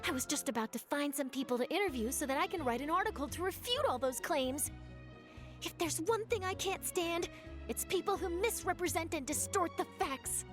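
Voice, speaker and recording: A woman's voice: very high voice, animated, a little fast. A woman voicing an eager young journalist in a video game, earnest and articulate. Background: game music.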